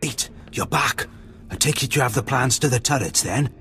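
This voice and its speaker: A man's voice: medium pitch, normal speed, fairly bright tone, soft background music, natural voice. An actor playing a self-serving, petulant male Imperial agent in a video game, huffy and clipped. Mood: eager. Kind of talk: video game cutscene acting.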